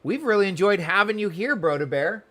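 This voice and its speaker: A man's voice: high-pitched, lively intonation, fairly quick, sharp tone. A man live-streaming a game, chatting casually and welcomingly with viewers while commenting on the story, often repeating words.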